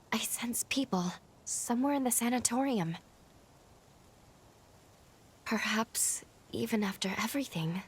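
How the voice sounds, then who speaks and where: An actress playing a resolute, perceptive companion in a dramatic video game, with a husky, hushed and serious delivery. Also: female voice, on the high side. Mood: hushed, wondering. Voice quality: very breathy, husky. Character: resolute, perceptive female companion in post-apocalyptic adventure.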